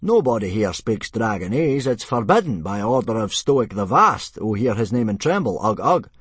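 A man voicing a pompous Viking warrior who tries to sound noble, loud and blustering. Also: fairly low pitch, big pitch swings, normal speed, breathy edge, no echo, pitched above the performer's own voice, heavily acted.

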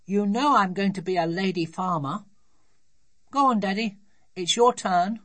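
A woman's voice: mid-range voice, a little slow, a bit bright, voice pushed up. A woman voicing a bossy, triumphant little girl in a children's storybook, with emphatic, insistent delivery. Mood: bossy, pleased.

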